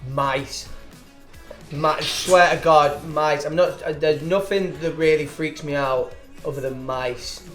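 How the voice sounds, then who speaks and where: A man's voice: fairly high pitch, steady tone, fairly bright tone. A man, a band frontman chatting casually and jokingly in an interview. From England. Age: 20s. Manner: chatty, jokey, quick asides. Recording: echoey room with music behind.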